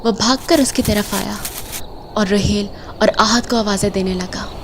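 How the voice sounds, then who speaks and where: A woman's voice: medium pitch, steady tone, unhurried. A woman reading scripted narration for a horror audio drama. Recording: noisy track with loud ambience.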